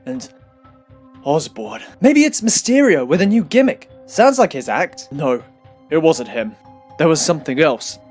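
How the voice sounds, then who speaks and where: A man's voice: on the high side, very animated, unhurried, a little mellow, faint music behind. A man voicing a young, wisecracking but tormented male superhero in an audio drama. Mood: shaken, puzzling.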